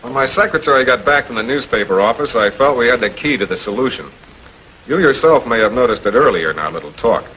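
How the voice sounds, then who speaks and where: A man's voice: medium pitch, animated, pushed and bright. An authoritative public prosecutor in a 1940s crime series. He is cool and insistent in a mid-range voice. The film sound is muffled and lightly noisy. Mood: narrating. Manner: measured, businesslike, insistent. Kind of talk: 1940s American TV crime drama acting and narration.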